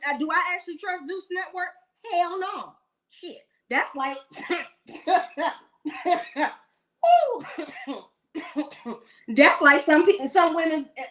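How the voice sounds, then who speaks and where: A woman's voice: high-pitched, a bit bright. A woman in her late thirties giving blunt, sassy celebrity gossip commentary in a rambling rant. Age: 30s.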